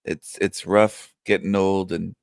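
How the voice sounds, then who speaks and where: An older man hosting a livestream, chatting in a rambling, joking way and laughing often. His voice is strong and low-pitched and sounds younger than his years. The sound is dry and close. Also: flat delivery, slow, gravelly, no room sound. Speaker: livestream host. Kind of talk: casual livestream chat. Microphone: webcam setup, camera off.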